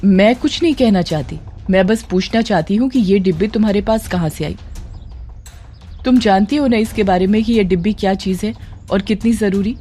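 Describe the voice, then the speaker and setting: A woman's voice: medium pitch, normal speed, warm tone, lightly acted. A woman voicing a determined, suspicious young woman in an audio horror drama, pointed and accusing but controlled. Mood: questioning.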